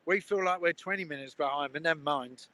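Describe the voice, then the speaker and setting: Bright upbeat host. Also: male voice, a little high, brisk. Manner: upbeat, laughs often, reads viewer comments. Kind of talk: casual livestream chat. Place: outdoors at a railway station. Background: trains.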